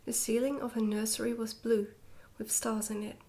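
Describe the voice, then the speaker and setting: A woman reading a storybook in a soft-spoken ASMR style, slipping often into a whisper, with a gentle, hushed delivery. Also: a little high, flat delivery, normal speed. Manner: gentle, hushed delivery with some stumbles over words. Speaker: ASMR reader, channel host.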